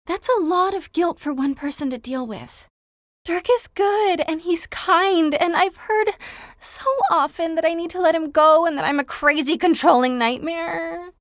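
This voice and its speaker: A woman's voice: very high-pitched, a little slow, no echo, clean studio sound. A woman playing an anxious, controlling young girlfriend in a video game, earnest and fretful. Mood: worried, defensive. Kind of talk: dramatic game character dialogue.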